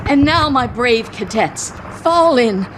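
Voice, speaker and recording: A woman playing a high-pitched rousing battle commander. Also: expressive, slow. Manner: loud, declamatory. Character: rousing military commander rallying cadets. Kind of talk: radio theater acting. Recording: noisy battlefield ambience.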